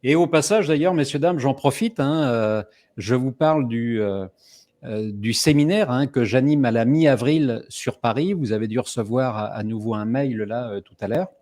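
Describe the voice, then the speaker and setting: A middle-aged man hosts a live online summit over a video call. He tells stories in a chatty, rambling way with plenty of "euh" fillers. His voice sits at a medium pitch. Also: moderate pace, a little mellow. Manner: chatty, many fillers, storytelling. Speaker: host.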